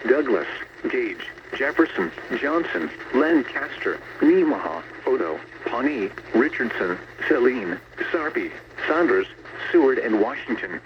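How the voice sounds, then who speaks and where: A synthetic male text-to-speech voice reading a scripted weather bulletin in a formal, measured way over weather radio. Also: mid-range voice. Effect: weather radio broadcast. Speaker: automated weather radio announcer. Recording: noisy, muffled long-distance radio reception.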